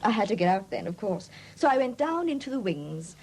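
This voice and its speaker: A woman's voice: medium pitch, sing-song, a little fast, a little mellow, low-quality recording. An actress playing a flighty, defensive young woman in a 1970s radio drama, breezy and evasive under questioning. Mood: reluctant, chatty. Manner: breezy, evasive, indignant when challenged. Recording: hissy old 1970s radio broadcast recording.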